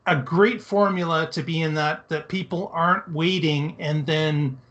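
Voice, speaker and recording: A man's voice: a little high, steady tone, measured pace, a bit bright, highs cut off. A man co-hosting a talk show, giving casual, opinionated commentary in a conversational, explanatory way.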